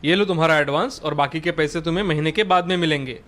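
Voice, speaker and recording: A man's voice: on the high side, fast, fairly bright tone, natural voice. A man voicing a brusque, bargain-minded hotel owner in a story, curt and businesslike.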